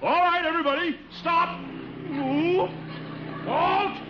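A man's voice: very high voice, slow pace. An actor playing a pompous, blustering, self-important middle-aged American man in a 1940s radio sitcom, with theatrical delivery. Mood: alarmed bellowing. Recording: muffled, noisy 1940s radio recording.

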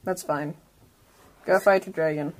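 A woman's voice: low-pitched, steady tone, unhurried, poor audio. A woman playing a tabletop roleplaying game, chatting casually in and out of character, with some noise behind.

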